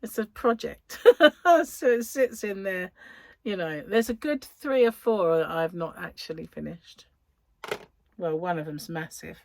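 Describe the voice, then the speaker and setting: A woman's voice: fairly low pitch, unhurried, creaky, darkish tone, dry recording. A woman hosting a podcast, chatting casually and rambling as she thinks aloud. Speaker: podcast host. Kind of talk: casual chat.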